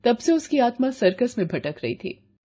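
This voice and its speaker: A woman's voice: fairly high pitch, sing-song, normal speed, dark tone, slight background noise. A woman narrating a children's horror tale in a dramatic, scripted storytelling style.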